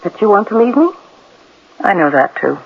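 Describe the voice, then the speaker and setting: Casting: hurt, unyielding wife for vintage radio suspense, lo-fi and noisy. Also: female voice, mid-range voice, very animated, moderate pace, gravelly. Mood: resigned, bitter. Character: hurt wife who refuses to hear confessions. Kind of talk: 1970s radio mystery drama acting.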